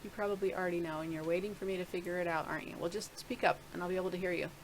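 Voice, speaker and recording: A woman's voice: on the low side, steady tone, very fast, slight background noise, poor audio. A middle-aged woman casually talking through a screen-share video blog, rambling and thinking aloud with many restarts. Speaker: video blogger.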